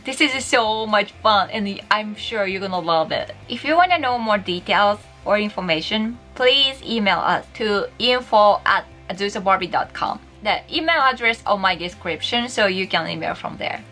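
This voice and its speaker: A chatty young woman from Japan speaks English with a Japanese accent, teaching a casual video lesson and laughing often. Her voice sounds bright and lively at a middling pitch. Faint music plays behind her. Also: normal speed, few pauses.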